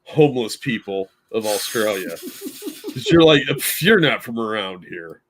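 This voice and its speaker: A man's voice: lowish voice, huge pitch swings, measured pace, fairly bright tone, rough recording. A man co-hosting a podcast in casual chat, making dry wisecracks. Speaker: podcast co-host.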